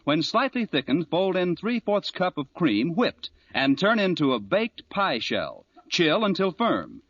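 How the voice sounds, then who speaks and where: A man's voice: sits high, sing-song, measured pace, a bit bright, no room sound, muffled recording. A man with an American accent announcing in cheery, polished 1940s radio salesman style on an old recording.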